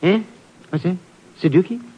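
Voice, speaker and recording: An actor playing an absent-minded, flustered professor in a 1950s radio drama, dithering and repeating himself. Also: male voice, high-pitched, moderate pace, slightly rough. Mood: flustered, effusive. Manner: dithering, repetitive, easily distracted. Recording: muffled old radio recording.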